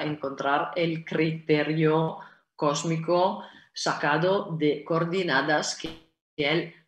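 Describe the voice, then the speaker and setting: Casting note: Italian woman, forties, unhurried, halting delivery, roomy echo on a video call. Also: sits low. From Italy. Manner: slow, halting, many fillers and restarts, gestures with hands. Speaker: guest speaker. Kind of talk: online conference Q&A. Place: indoor room at home. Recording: echoey video call audio.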